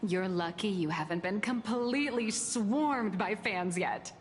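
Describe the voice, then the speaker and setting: A woman's voice: medium pitch, a little slow, slight background noise, lightly acted. A woman playing a bubbly, self-important female comic artist in a video game, with a dramatic, griping manner. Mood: teasing, friendly. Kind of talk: video game character dialogue. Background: game music.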